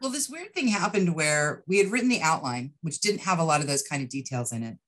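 A middle-aged woman with a deep-set voice talks as an interview guest. She explains her writing in a conversational way over a video call. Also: animated, fairly quick. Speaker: guest, writer. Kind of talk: casual interview chat. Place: indoor room with bookshelves. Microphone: video call microphone.

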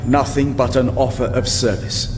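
A man's voice: mid-range voice, steady tone, moderate pace, background noise, lightly acted. Man as earnest elf prince in long reverb. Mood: solemn, devoted. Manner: solemn, formal. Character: earnest, lovestruck elf prince, defiant and devoted. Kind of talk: audio drama acting.

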